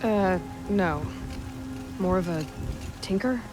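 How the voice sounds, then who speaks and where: A woman's voice: mid-range voice, slow pace, close to an everyday voice. An actress playing a young female hunter in a video game, with a dry, wry and direct way of talking. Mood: determined. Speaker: game protagonist. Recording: game cutscene with loud music.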